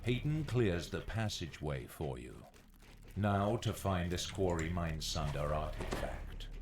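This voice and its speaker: A man performs an ominous dungeon master. The voice is very low and slow, narrating a fantasy game with grave deliberation over faint noise. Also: expressive. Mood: ominous, deliberate.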